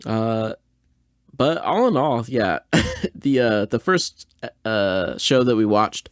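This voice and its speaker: A man's voice: on the low side, lively intonation, takes it slowly, clean tone, no room sound, studio quality. A man hosting a podcast with casual, bemused reaction commentary in informal, slangy phrasing. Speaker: podcast host.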